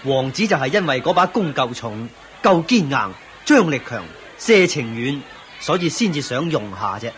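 An actor playing a dutiful court official in a radio drama, formal, declamatory and deferential. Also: male voice, medium pitch, very animated, normal speed, fairly bright tone, slight background noise. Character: dutiful court official announcing royal contest results.

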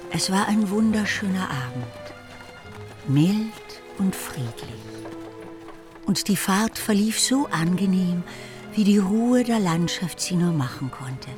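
Woman narrating a novel for radio, medium pitch, music playing behind her. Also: animated, slow pace. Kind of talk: scripted literary narration.

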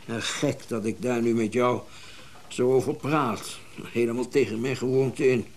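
A man acting a wealthy middle-aged collector in a naturalistic radio play. His voice sits at a medium pitch. He is genteel and reflective, pausing as he searches for old-fashioned turns of phrase. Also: fairly even intonation, darkish tone, a little hiss or hum, lightly acted. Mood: confiding. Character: wealthy, well-bred male collector of mechanical music. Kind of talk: naturalistic radio drama dialogue.

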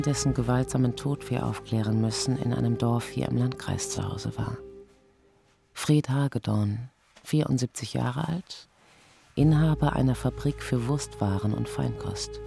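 Gravelly actor as sober male detective narrator. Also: medium pitch, close to an everyday voice. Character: male police detective soberly recounting a murder case. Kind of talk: scripted first-person crime drama narration.

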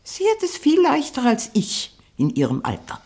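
A woman playing a sharp-tongued, haughty old aunt, frail but proud, in a 1960 German radio play. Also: high-pitched, huge pitch swings, exaggerated voice. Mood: haughty, weary. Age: older. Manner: haughty, mocking, deliberate.

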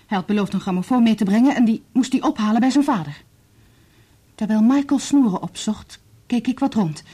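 Earnest, mellow husky young daughter. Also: female voice, on the high side. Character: earnest, determined daughter bringing painful evidence. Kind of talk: Dutch radio play acting.

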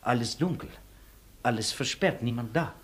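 An actor playing a devoted, simple, deferential Polish manservant and driver in a German radio play. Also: male voice, medium pitch, normal speed, fairly bright tone, breathy edge. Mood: dutiful, dry.

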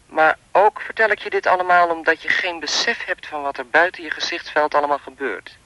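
A man's voice: a little high, brisk, sharp tone, poor audio. An actor playing a chatty, lewd and menacing anonymous male caller in a radio play, insinuating and mock-polite as he rambles. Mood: taunting, controlled.

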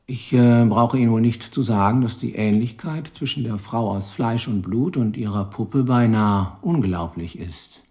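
Actor as gravelly, world-weary police detective. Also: male voice, medium pitch, darkish tone, close to an everyday voice. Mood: knowing, pressing. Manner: measured, knowing, insistent questioning. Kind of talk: German radio crime drama acting.

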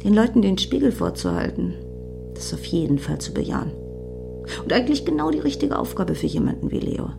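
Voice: mid-range voice, big pitch swings, fairly quick, vocal fry, over music. An actor in a radio play voicing a wry, musing club-goer with reflective, hesitant asides.

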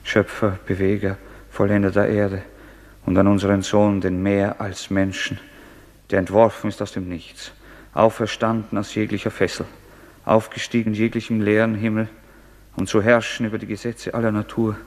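A man's voice: on the low side, little pitch movement, close to an everyday voice. Solemn man reciting in cavernous reverb acted. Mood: solemn, exalted. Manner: incantatory, measured, grandiose. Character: fanatical man reciting a godless creed of almighty man. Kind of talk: solemn recitation in German radio play.

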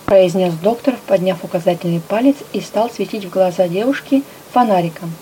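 A woman narrating a first-person story with a lively, expressive reading. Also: mid-range voice, a little fast, slight background noise, low-quality recording. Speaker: audiobook narrator.

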